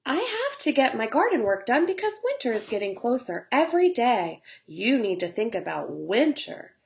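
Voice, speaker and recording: Woman voicing an emphatic, high-pitched busy little ant. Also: sing-song, moderate pace, gravelly, muffled recording. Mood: scolding. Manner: emphatic, chanting. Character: tiny, busy, hard-working female ant, earnest and scolding. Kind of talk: children's storybook character voice with work chants.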